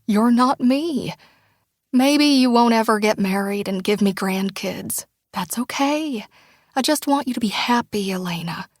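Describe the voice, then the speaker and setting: A woman voicing a tender small-town mother who speaks in a soft, often breathy near-whisper, pleading and heartfelt. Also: on the high side, unhurried, no echo, studio quality. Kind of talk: audiobook character dialogue.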